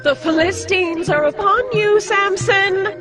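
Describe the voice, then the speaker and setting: A woman's voice: extremely high pitch, unhurried, over music. An actress playing a seductive, reproachful woman coaxing her lover in a dramatized scripture audio drama, with theatrical pleading delivery. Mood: urgent alarm.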